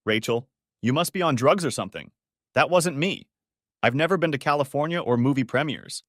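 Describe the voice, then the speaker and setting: A man's voice: medium pitch, expressive, fairly quick, fairly bright tone, dry recording, clean studio sound, pitched above the performer's own voice. A man voicing a young husband who jokes and protests in first-person dialogue. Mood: protesting. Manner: joking, protesting. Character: joking young husband and office worker.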